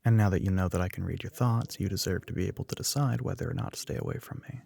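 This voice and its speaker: A man playing a smug, teasing young telepathic classmate in a soft-spoken ASMR roleplay, dry and matter-of-fact. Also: deep voice, fast, vocal fry, slightly rough, heavily acted. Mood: calm, reassuring.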